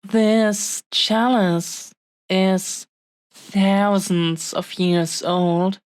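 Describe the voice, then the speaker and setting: A woman's voice: mid-range voice, steady tone, a little mellow, smooth, dry recording. A woman voicing an affectionate, possessive dragon-woman fiancée in an intimate romantic audio roleplay, with tender, lingering delivery. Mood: insistent, reverent. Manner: tender, unhurried, pausing delivery. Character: affectionate, possessive young dragon-woman fiancée cherishing her beloved treasure.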